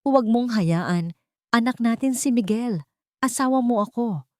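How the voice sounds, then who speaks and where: A woman's voice: fairly high pitch, measured pace, dry recording, clean studio sound, pitched above the performer's own voice, natural voice. A woman voicing a desperate, wronged wife and mother in an audio drama, pleading in a breaking manner.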